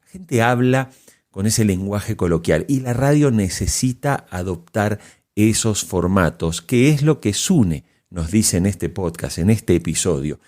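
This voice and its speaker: Male podcast host, low-pitched voice, presenting prepared commentary. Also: animated, measured pace, a little mellow.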